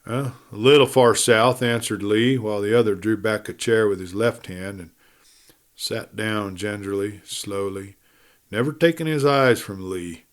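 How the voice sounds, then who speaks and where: A man's voice: fairly low pitch, unhurried. A man reading a western adventure story as a dramatic audiobook narrator.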